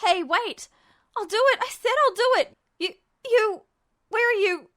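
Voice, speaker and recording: A woman's voice: extremely high pitch, unhurried, a bit bright, voice pushed up. A woman voicing a terrified, hot-tempered boy in a story reading, pleading and stammering as he repeats himself. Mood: desperate. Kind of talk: dramatized fan fiction reading.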